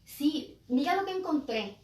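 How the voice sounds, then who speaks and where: A woman with a Puerto Rican accent takes part in a conversational discussion. Her voice sits fairly high. The recording is roomy and echoey, with her some way from the microphone. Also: lively intonation, measured pace, darkish tone. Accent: Puerto Rican Spanish. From Puerto Rico. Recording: echoey room, distant microphone.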